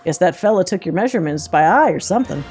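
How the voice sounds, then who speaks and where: A woman's voice: lowish voice, lively intonation, quick pace, a little mellow, husky. A woman voicing a folksy, confident hunter mentor from a fantasy game, with plain rustic phrasing, over music. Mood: easygoing. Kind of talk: reading game dialogue in character.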